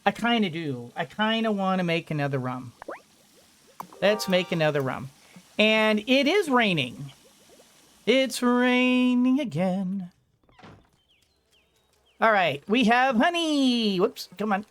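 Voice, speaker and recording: A gaming video host giving casual let's-play commentary, thinking out loud in fragments with playful asides. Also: medium pitch, slight background noise.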